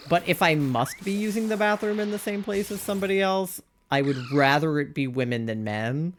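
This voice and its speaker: Young guy rambling on his live stream, tons of "like"s, mid-pitched, kinda exasperated, faint hiss behind. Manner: rambling and candid, heavy use of "like" fillers; exasperated, rhetorical questions. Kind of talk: casual chat while live-streaming a game.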